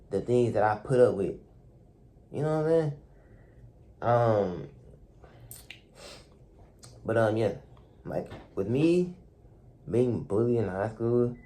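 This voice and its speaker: A young man, talking softly and hesitantly to the camera in a dark room, with many fillers and restarts. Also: lowish voice. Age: young adult. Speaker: vlogger. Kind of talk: reflective talk to camera.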